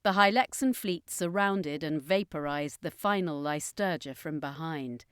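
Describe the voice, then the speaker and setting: A woman with a fairly low voice tells a fantasy story as scripted narration. The sound is dry, with very little room around it. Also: unhurried, fairly bright tone, clear, resonant tone, no room sound.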